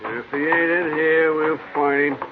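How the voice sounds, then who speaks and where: An American actor playing a domineering, threatening outlaw gang leader in an old radio western. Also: male voice, on the high side, measured pace, close to an everyday voice. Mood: menacing. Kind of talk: 1950s radio western acting. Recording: tinny, noisy old radio recording.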